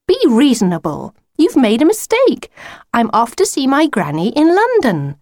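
A woman's voice: sits high, sing-song, moderate pace, no echo, studio quality, voice pushed up. A woman voicing a stubborn, unimpressed schoolgirl in a children's story, with a firm, matter-of-fact manner. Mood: cross, firm.

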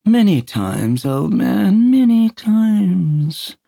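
A man's voice: sits high, very animated, drawn-out words, gravelly, warm tone, no echo, clean studio sound, pitched above the performer's own voice, exaggerated voice. An actor playing a giddy, manic male mental patient who talks in a flamboyant, sing-song way. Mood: dreamy.

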